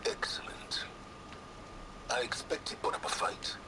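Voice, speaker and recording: An actor playing a cool, cultured older schemer in a video game, speaking formally with dry approval. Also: male voice, unhurried, whispered, close to an everyday voice, low-quality recording. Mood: cool, intrigued. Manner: hushed, formal, dryly approving.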